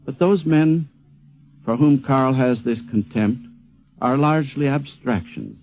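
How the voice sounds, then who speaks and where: A man giving a reflective spoken tribute, stressing his points deliberately. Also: medium pitch, soft background music. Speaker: commentator recalling an acquaintance. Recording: dull lo-fi vintage radio recording.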